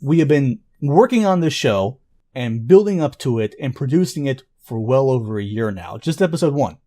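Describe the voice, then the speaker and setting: A man who writes an audio drama, explaining it in an earnest, informal pitch. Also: lively intonation, moderate pace, dark tone.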